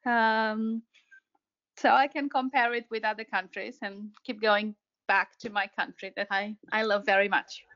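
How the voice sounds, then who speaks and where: A woman's voice: medium pitch, level tone, measured pace, fairly bright tone, muffled recording. A woman with a Romanian accent chatting casually as an interview guest, searching for words as she goes. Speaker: podcast guest, tour operator.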